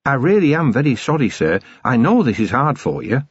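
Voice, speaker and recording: A man's voice: medium pitch, big pitch swings, a little fast, breathy edge, no room sound, highs cut off, pitched above the performer's own voice. A man voicing a cheerful, deferential female dwarf police sergeant, polite and eager, in an audiobook. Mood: sympathetic.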